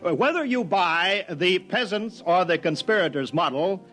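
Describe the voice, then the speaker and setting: An obsequious, bustling aide in a fifties radio play. His voice is slightly high and very animated, with music behind it. He is fussy and officious. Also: normal speed. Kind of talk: 1950s radio drama acting.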